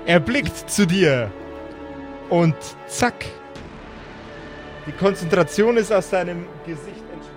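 A man's voice: sits high, slow, long pauses. A man narrating as game master in a dramatic, improvised storytelling style. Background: music.

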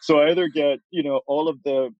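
A man from Puerto Rico speaking as a thoughtful podcast guest, laying out his ideas in articulate, measured phrases. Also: fairly high pitch, fairly even intonation, no echo, highs cut off. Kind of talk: reflective interview conversation.

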